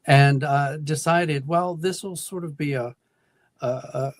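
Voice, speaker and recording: A middle-aged American man giving thoughtful, explanatory answers as a guest in an interview, pausing and restarting as he goes. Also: on the high side, dry recording. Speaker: guest, audio drama writer and producer.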